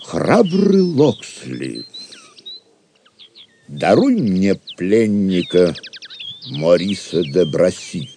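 An actor playing a grave, reserved, valiant knight in an audio drama. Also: male voice, low voice, very animated, very slow, long pauses, dark tone, heavily acted. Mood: solemn. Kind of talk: Russian audio drama acting. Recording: noisy forest ambience with birdsong.

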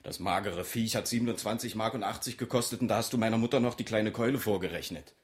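An actor playing a weary, petty husband in a radio drama, grumbling and dryly defensive. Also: male voice, lowish voice, quick pace, natural voice. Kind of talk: naturalistic German radio drama acting.

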